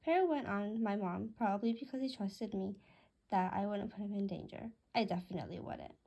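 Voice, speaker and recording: A woman's voice: medium pitch, fairly quick, warm tone. A woman reading a first-person storybook aloud as scripted narration. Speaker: author reading own book aloud.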